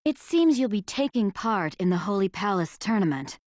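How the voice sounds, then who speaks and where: A woman voicing a cool, businesslike female agent in a game, crisp and matter-of-fact as she briefs. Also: medium pitch, sing-song, normal speed, darkish tone, dry recording, studio quality, close to an everyday voice. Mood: briefing.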